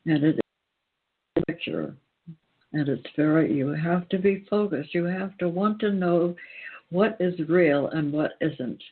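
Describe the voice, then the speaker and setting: A man giving an earnest spiritual talk in a deliberate way, pausing often and repeating phrases for emphasis. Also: fairly high pitch, breathy edge. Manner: deliberate, emphatic repetition with pauses. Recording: tinny, phone-like band-limited sound.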